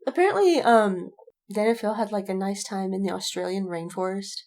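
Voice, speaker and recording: A woman's voice: medium pitch, fairly quick. A woman co-hosting a podcast in casual fandom chat, rambling with lots of "like" and swearing, acting out people's reactions as she retells them. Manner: animated retelling with quoted reactions. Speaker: podcast co-host.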